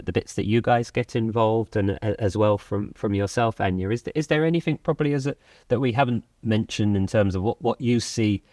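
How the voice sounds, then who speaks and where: A man's voice: lowish voice, darkish tone, dry recording, clean studio sound. A man hosting a company podcast, asking long, winding questions in a friendly way. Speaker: podcast host. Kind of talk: interviewing panel guests.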